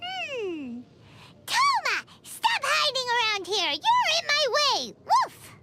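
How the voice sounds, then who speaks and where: A tiny fairy girl companion from a video game, extremely high and squeaky. The delivery is bubbly, childish and quick to take offense, leaping up and down in pitch. Loud music plays behind the voice. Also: wildly swooping pitch, heavily acted. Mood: playful mimicry. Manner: bubbly, stammering, speaks of self in third person. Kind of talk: video game character dialogue. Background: background music.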